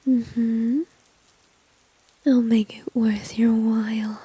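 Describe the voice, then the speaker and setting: A woman's voice: on the high side, flat delivery, very slow, dark tone, breathy edge, dry recording, full character voice. A woman playing a flirty, dominant, confident villain in an ASMR roleplay, whispering breathily and teasingly close to the microphone. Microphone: close microphone, dry.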